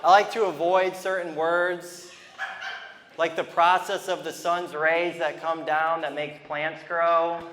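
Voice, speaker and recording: A man in his thirties with a clear lisp works through a stand-up set, his voice sitting high for a man. He rambles loosely and turns to the crowd with quick asides. The sound carries a long reverb and a faint hiss underneath. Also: level tone. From Toledo, Ohio, US. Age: 30s. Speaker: stand-up comedian. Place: comedy venue with a live audience.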